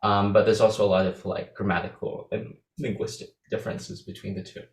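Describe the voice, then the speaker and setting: Young man, low voice, hesitant. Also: level tone, brisk, roomy sound. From Philippines. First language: Visayan. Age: young adult. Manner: hesitant, many fillers and restarts. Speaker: interview guest. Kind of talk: casual interview answers.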